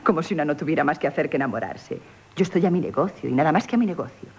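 An actress playing a shrewd, flattering innkeeper, coquettish and chatty, in a radio play. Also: female voice, deep voice, very fast, husky, heavily acted. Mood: frank, indignant. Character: shrewd, flattering, independent young innkeeper woman. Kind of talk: theatrical radio play acting in Castilian Spanish.

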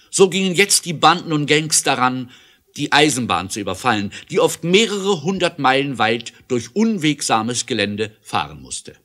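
A man's voice: medium pitch, fairly bright tone. A man narrating a scripted adventure audio play in an emphatic, declamatory storytelling style.

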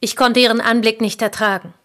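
An actress playing a reserved provincial piano teacher and confidante in a German radio play, speaking with courteous restraint. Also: female voice, on the high side, fairly even intonation, a little fast, a bit bright, close to an everyday voice. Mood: restrained.

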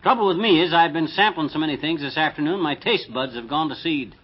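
Boastful, bumbling husband, highish husky voice, folksy bluster in vintage radio comedy. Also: male voice, fast talker. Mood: flustered. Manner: folksy, self-important, blustering. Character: excitable, boastful bumbling husband playing backyard chef. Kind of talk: 1950s radio comedy acting. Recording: dull, lo-fi old radio recording.

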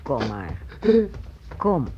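An actress playing a frightened, protective mother in a radio play, emotional and halting. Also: rumbling low, very animated, a little mellow, heavily acted. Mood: soothing, tender. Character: frightened, protective mother soothing her son. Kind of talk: radio drama acting. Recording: muffled, noisy old radio play recording.

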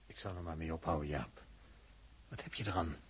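An actor playing a grim, uneasy onlooker in a 1960s radio drama, terse and ominous, with a husky voice. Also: male voice, rumbling low, fairly quick, slight background noise. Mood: resigned, uneasy.

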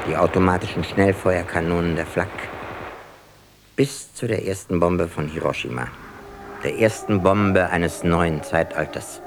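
A solemn male narrator in a vintage radio play. His low voice is grave and doom-laden, with faint noise behind it. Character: solemn, doom-laden chronicler of modern war.

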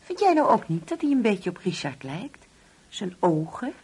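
Husky, wistful ageing mother. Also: medium pitch, sing-song, normal speed, full character voice. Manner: hesitant, brooding, hushed at times. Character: wistful, uneasy ageing mother grieving an absent son. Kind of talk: Dutch radio play acting.

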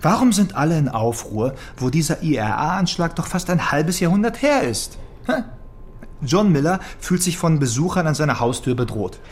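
An actor playing a cheeky, pedantic younger police detective in a German radio crime drama, probing and sardonic. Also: male voice, a little high, very animated, normal speed. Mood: needling, probing. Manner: probing, sardonic, with small interjections.

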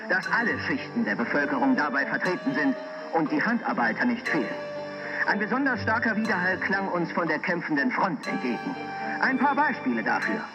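A man's voice: fairly high pitch, lo-fi sound. A man reading a scripted historical report with formal, declamatory diction. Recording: music playing clearly underneath.

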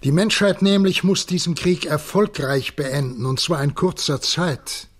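An actor playing a grave, authoritative president in a 1960s German radio drama, speaking formally and deliberately. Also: male voice, fairly high pitch. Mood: urgent, insistent. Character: grave, authoritative male president of a future civilisation.

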